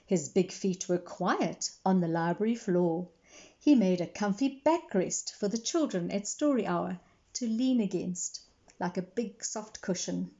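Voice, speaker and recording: Lady librarian reading kids a story, mellow voice, explains as she goes, bit muffled audio. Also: medium pitch, expressive, measured pace. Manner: clear explanatory storytelling for young listeners. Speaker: librarian reading a picture book aloud to children. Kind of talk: storybook read-aloud with simple explanations for children.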